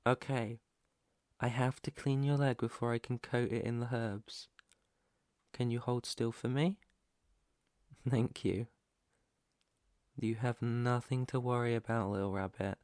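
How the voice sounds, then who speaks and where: A British man playing a protective, gentle, clueless alpha werewolf in an intimate ASMR roleplay, speaking softly and reassuringly close to the mic. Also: medium pitch, steady tone, dry recording, lightly acted. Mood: tender, reassuring. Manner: soft, tender, unhurried, reassuring. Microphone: close microphone, dry.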